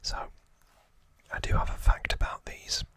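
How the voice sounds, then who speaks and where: A man whispering trivia as an ASMR host, in a hushed, informal and unhurried explaining manner.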